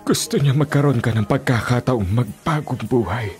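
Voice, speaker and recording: A man's voice: medium pitch, normal speed, a little mellow, soft background music, natural voice. An actor with a Filipino accent playing a firm but loving family man in an earnest, dramatic radio drama style. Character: earnest male relative of a wounded fugitive, firm but loving.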